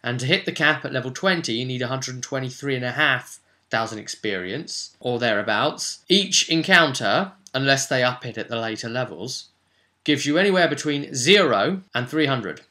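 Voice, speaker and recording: A man's voice: mid-range voice, animated, normal speed, bright, forceful tone. A man presenting a tabletop role-playing video as an enthusiastic explainer, emphatic and chatty, with rhetorical asides to viewers.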